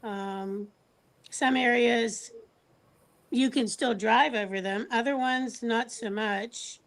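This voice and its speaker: Woman, American accent, fairly high voice, very unhurried pace, hesitant, casual livestream host. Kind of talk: casual live chat.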